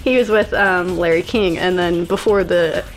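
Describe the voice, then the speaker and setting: A woman speaking as a guest in a casual chat, with a calm, even, monotone way of talking. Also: on the low side, moderate pace, soft background music, rough recording. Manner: calm, self-described monotone delivery.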